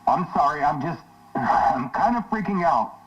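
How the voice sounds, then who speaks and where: Man playing husky, anxious boyfriend on voicemail. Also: on the high side, expressive, unhurried, exaggerated voice. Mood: worried. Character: worried, anxious boyfriend leaving phone messages. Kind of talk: emotional voicemail acting. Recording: muffled distant voicemail.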